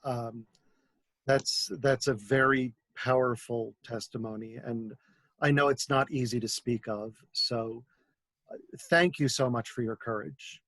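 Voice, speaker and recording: Male moderator, slow pace, dry close sound, gentle, polite, halting, online question session. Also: medium pitch, steady tone, no room sound. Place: video call webinar.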